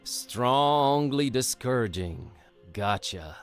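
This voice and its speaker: A sardonic out-of-town hero in a cartoon adventure game. He talks offhandedly in a middling pitch with big expressive swings, with light music underneath. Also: takes it slowly, smooth. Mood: wry. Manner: wry, offhand. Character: wry, casual, sarcastic out-of-town adult male sleuth hero. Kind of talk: animated adventure game acting.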